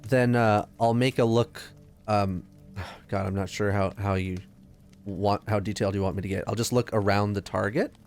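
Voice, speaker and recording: Questioning male gamer with lowish voice. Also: steady tone, moderate pace, darkish tone, soft background music. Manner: hesitant, questioning, deliberating. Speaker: player in a tabletop roleplaying game. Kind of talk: casual in-game table talk.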